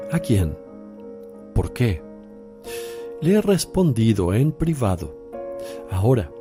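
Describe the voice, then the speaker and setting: A solemn male spiritual teacher in a religious radio play. He speaks very slowly and deliberately, with calm authority, at a medium pitch. Music plays clearly behind the voice. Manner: slow, deliberate, preaching phrasing. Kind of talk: religious radio drama acting.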